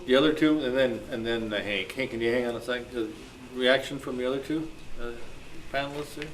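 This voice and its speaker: A man's voice: mid-range voice, fairly quick, slight background noise, poor audio. A man informally moderating a meeting and inviting questions and responses from the room.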